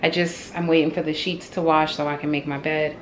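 Low-voiced woman chatting casually at home. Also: flat delivery, fairly quick, very noisy. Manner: chatty, exasperated venting with small laughs. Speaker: home vlogger. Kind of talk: casual grocery haul and cleaning chat. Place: home kitchen. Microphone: handheld phone camera.

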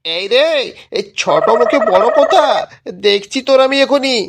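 A man's voice: very high voice, lively intonation, measured pace, very noisy. Guy doing a grumbly cartoon sweet shop owner, fed up, naggy, keeps repeating himself, all about money. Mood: flustered. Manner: exasperated, scolding, repetitive. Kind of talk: amateur cartoon comedy voice acting.

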